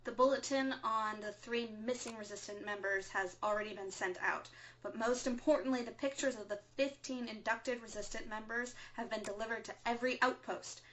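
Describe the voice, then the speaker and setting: A woman playing a composed, earnest resistance radio broadcaster in a scripted audio drama, speaking in a measured, solemn way. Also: fairly high pitch, fairly bright tone, dull audio, a little hiss or hum, natural voice. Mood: calm, serious. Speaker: radio show host.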